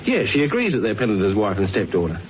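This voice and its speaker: An actor in a radio drama playing a flippant, slangy, sceptical sidekick to a detective. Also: male voice, lowish voice, huge pitch swings, fast talker. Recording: tinny band-limited radio recording with hiss.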